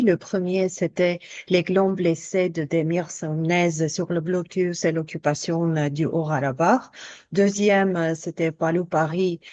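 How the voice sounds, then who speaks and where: A woman's voice: lowish voice, darkish tone, highs cut off. A woman from Armenia, a native Armenian speaker, talking carefully as a guest on a radio show, with a light laugh now and then. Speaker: translator.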